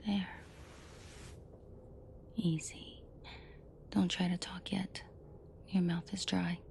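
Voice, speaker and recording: A woman's voice: lowish voice, little pitch movement, creaky, a little mellow, a little hiss or hum. A woman playing a female mafia boss in a scripted roleplay audio drama, controlled and commanding, menacing to her men yet protective and tender. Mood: gentle, commanding. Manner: controlled, commanding, slow and deliberate, often dropping to whispers. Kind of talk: scripted roleplay audio drama, intimate direct address to listener.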